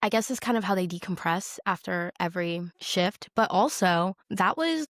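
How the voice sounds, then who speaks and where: A woman's voice: mid-range voice, lively intonation, normal speed, no room sound, clean studio sound. A woman co-hosting a podcast, chatting casually and informally about television, often dropping in "like". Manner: informal, peppered with "like". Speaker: podcast co-host. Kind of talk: casual chat about a TV show.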